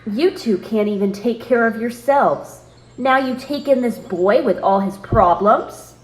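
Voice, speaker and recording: A narrator voicing a shrill, bossy middle-aged woman with a high, grating voice who scolds and accuses. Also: unhurried, natural voice, poor audio. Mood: scolding.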